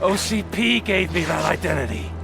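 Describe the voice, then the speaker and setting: A man's voice: high voice, animated, normal speed, gravelly, music in the background. A male actor playing an arrogant, scheming, volatile villain in a video game cutscene, with a theatrical, taunting delivery. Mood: bitter, persuasive. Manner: theatrical, taunting, swinging from smug to shouting.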